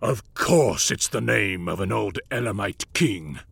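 Man doing a proud, bitter old aristocrat, husky and slow, lively swings in pitch. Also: no room sound, heavily acted. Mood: snarling. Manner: slow, growling delivery. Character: old male lord, gruff, bitter and proud.

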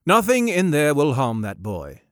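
A man as a gentle refined gentleman. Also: a little high, wildly swooping pitch, no room sound, clean studio sound, pitched above the performer's own voice, full character voice. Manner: gentle, measured.

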